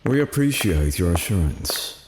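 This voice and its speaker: An actor playing a solemn, reserved archangel in human guise in an audio drama. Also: male voice, sits low, big pitch swings, a little slow, background noise.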